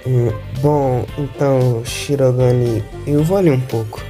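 A man as slow-talking teenage boy. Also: mid-range voice, fairly even intonation, lightly acted. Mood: awkward. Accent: Brazilian. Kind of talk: dramatic fan dub dialogue. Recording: music clearly behind the voice.

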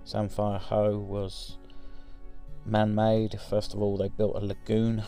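A man's voice: lowish voice, monotone, slow, mellow, faint music behind. A man in his forties giving a casual, rambling voice-over for his driving footage, with many ums and restarts. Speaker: vlogger.

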